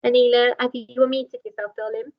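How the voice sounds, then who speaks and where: A woman's voice: a little high, level tone, a little fast, no room sound. A woman moderating a school video-call discussion, asking questions in a friendly, encouraging way. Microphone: laptop microphone on a video call. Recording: dull lo-fi laptop audio.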